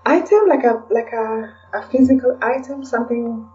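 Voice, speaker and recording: A woman's voice: on the high side, normal speed, warm tone. A woman being interviewed as a podcast guest, talking casually and hesitantly, thinking aloud with frequent "you know" tags. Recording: dull, lo-fi, distant from the mic.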